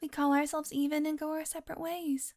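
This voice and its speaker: A woman's voice: high voice, little pitch movement, brisk, a little mellow, lightly acted. A woman playing a haughty, sardonic female vampire on the run in an audio roleplay, teasing and exasperated as she talks straight to the listener. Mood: matter-of-fact, wry. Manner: sarcastic, teasing, exasperated sighs.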